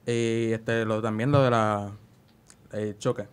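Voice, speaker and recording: A man's voice: lowish voice, flat delivery, takes it slowly, clear, resonant tone. A young man giving casual interview answers as a student guest, hesitant and searching for his words. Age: young adult.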